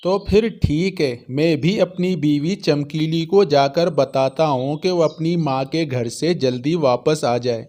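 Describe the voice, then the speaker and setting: A man plays a henpecked crow husband in a children's cartoon. He is questioning and deferential, speaking slowly in a slightly high male voice. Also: darkish tone, lightly acted.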